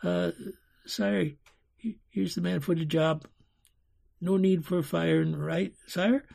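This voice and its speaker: Guy doing a streetwise little orphan kid from a storybook, higher voice, slangy n urgent, bit husky. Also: steady tone, slow, darkish tone, heavily acted. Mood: nervous, placating. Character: scrappy, streetwise orphan boy.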